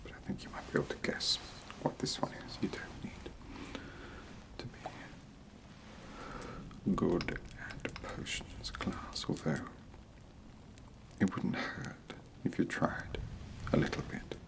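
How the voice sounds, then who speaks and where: A performer voicing a stern, sardonic potions professor in a whispered ASMR roleplay, slow, deliberate and condescending, with clipped commands. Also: distant mic, natural voice, low-quality recording. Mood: dry, condescending. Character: stern, sardonic potions professor interrogating students at wizard school.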